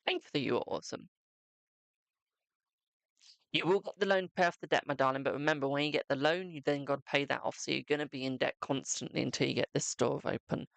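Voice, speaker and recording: A man's voice: medium pitch, fast talker, creaky, fairly bright tone, dry recording. A man giving a live-stream psychic tarot reading, chatting to viewers and calling them "my darling".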